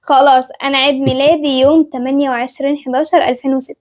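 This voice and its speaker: A woman's voice: high-pitched, flat delivery, rapid-fire, darkish tone. A woman in her late teens with an Egyptian Arabic accent, co-hosting a family vlog and chiming in with short remarks. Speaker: vlogger, channel co-host. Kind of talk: casual chat with viewers.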